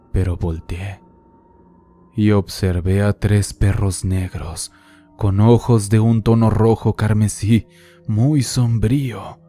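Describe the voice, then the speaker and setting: A man calmly narrating horror stories sent in by listeners, in a measured, somber reading. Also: lowish voice. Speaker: horror story channel narrator. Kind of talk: scripted horror narration read from listener letters. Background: faint music.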